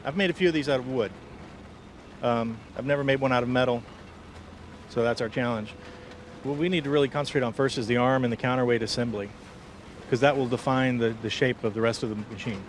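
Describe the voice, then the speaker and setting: A man's voice: medium pitch, fairly quick, slight background noise. A man from Texas, a catapult expert, explaining a build in a plain, practical way in an outdoor junkyard. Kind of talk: explaining on site.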